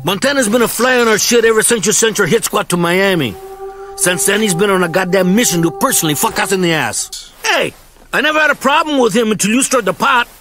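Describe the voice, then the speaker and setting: A man's voice: sits high, brisk, a bit bright, faint music behind. A man playing a blunt, irritated drug lord in a crime video game. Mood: angry, accusing.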